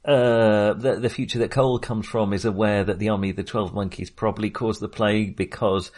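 A man co-hosting a casual film discussion, speaking in a halting, self-correcting way. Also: on the low side, fairly even intonation, a little mellow. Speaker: podcast co-host.

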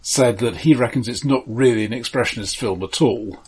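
A man co-hosting a casual film discussion in a measured, halting, thoughtful way. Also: mid-range voice, breathy edge. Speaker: film podcast co-host.

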